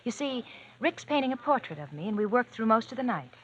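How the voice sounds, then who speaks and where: A rich young woman who schemes behind a sugary, teasing manner. Her voice swoops up and down in a lively way. The sound is a dull old radio recording with faint hiss. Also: fairly high pitch, fast talker. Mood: assured. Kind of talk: 1940s radio acting.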